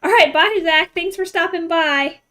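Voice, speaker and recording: Bright, giggly woman streaming a game. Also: very high-pitched, moderate pace. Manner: giggly, chatty, reacting aloud. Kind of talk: casual gameplay commentary. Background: game music.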